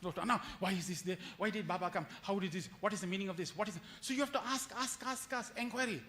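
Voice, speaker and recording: A man's voice: high-pitched, quick pace, sharp tone, slight background noise. A man giving a devotional lecture as a retreat speaker, telling stories with acted-out quotes and rhetorical questions and repeating phrases for emphasis.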